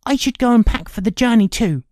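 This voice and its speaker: A woman's voice: a little high, expressive, a little fast, dark tone, dry recording, studio quality, natural voice. A woman voicing a modest, self-doubting boy in a storybook drama, earnest and hesitant. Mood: firm, uneasy.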